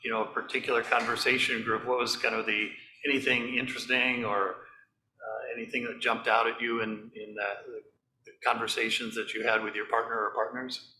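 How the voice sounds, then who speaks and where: A man giving an informal talk to a group, relaxed and halting, with many fillers. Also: mid-range voice, slight background noise. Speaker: speaker leading a group talk. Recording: echoey room.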